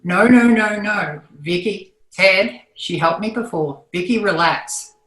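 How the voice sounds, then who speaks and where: A woman's voice: mid-range voice, slow pace, a bit bright. A woman playing a modest, fussing sitcom mom in a table read. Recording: echoey room, online call.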